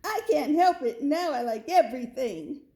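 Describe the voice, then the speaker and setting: Lady doing a little boy goat from a picture book, eager eater, kinda high and soft-toned. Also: lively intonation, moderate pace, reverberant. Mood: cheerful, eager. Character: eager young male goat, a picky eater turned glutton. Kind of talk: children's storybook read-aloud.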